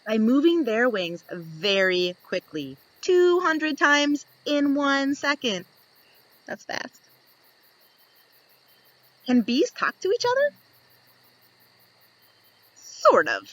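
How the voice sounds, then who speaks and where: A woman reading a picture book aloud to young children, expressive and instructive, putting questions to her listeners and answering them. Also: high voice, slow pace, pauses often, no echo, low-quality recording.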